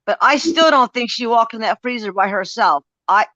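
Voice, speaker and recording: A woman's voice: fairly high pitch, expressive, moderate pace, bright, forceful tone, no echo. A woman in her sixties, co-hosting a live paranormal stream, talking emphatically to the audience. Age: 60s. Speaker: co-host. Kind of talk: live-stream ghost hunt chat. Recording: dry, dull lo-fi stream audio.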